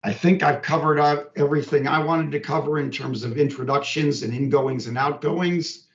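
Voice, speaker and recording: A man making staff announcements on a work conference call in a halting, deliberate way. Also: fairly high pitch, steady tone. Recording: echoey, distant, dull conference call.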